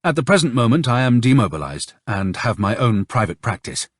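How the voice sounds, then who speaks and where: Man acting a blunt, husky army doctor. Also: medium pitch, big pitch swings, brisk, no echo, clean studio sound. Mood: matter-of-fact. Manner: blurting, earnest, emphatic. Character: blunt, proud monarchist army doctor, male.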